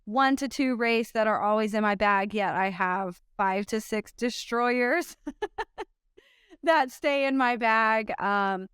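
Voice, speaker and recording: A woman in her thirties from Oklahoma, a pro disc golfer, answering interview questions in an upbeat, chatty way with frequent ums and quick laughs. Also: a little high, a bit bright, smooth, dry recording, studio quality.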